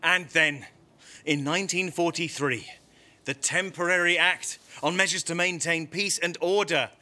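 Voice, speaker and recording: An actor playing an indignant, fanatical Norwegian fascist prison guard in an audio drama, aggrieved and emphatic. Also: male voice, on the high side, steady tone, measured pace, sharp tone. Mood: proud, fervent.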